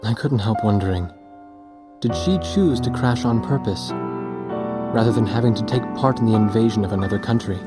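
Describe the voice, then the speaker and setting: A male actor playing an earnest, reflective war reporter in a game cutscene, measured and sober in delivery. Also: fairly low pitch, loud music behind the voice, natural voice. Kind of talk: scripted game cutscene narration.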